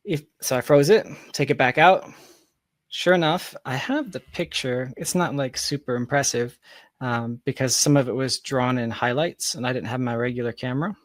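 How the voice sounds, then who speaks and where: A man chatting casually as a podcast guest, telling anecdotes in an animated manner over a close mic. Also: medium pitch, moderate pace, no echo.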